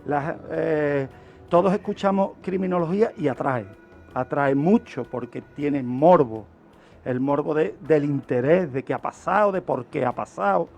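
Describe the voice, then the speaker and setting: A man's voice: a little high, a little slow, creaky, darkish tone, faint music behind. A man giving an explanatory radio talk as a criminologist, teaching by asking questions and answering them himself. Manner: didactic, asks and answers own questions. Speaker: criminologist presenting a radio segment.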